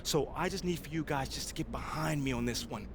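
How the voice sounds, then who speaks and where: A man's voice: on the high side, a little fast, noisy background, lightly acted. A man playing a driven, proud young American pro basketball player in a game cutscene, speaking earnestly and colloquially with emphasis. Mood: determined, pleading. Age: young adult. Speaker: video game actor playing the lead character. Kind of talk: naturalistic cutscene acting, impassioned speech.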